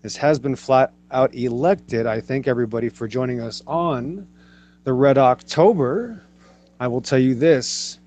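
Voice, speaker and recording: A man's voice: medium pitch, unhurried, a little mellow. A man hosting an online show, thanking viewers offhandedly, then closing with grand, rhetorical lines. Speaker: show host giving the outro. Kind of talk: casual sign-off.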